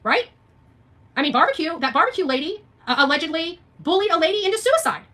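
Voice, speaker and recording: A woman with a high, bright voice delivers an unscripted livestream rant. She talks quickly and combatively, leaning on repeated phrases and pointed rhetorical questions as she answers her viewers. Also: very animated. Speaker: livestream host responding to viewer comments.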